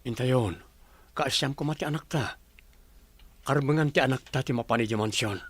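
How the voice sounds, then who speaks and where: A man's voice: medium pitch, normal speed, slightly rough, no room sound, close to an everyday voice. A man playing an earnest, coaxing wealthy father in an audio drama. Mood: earnest, tender. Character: earnest wealthy father reuniting with his young child.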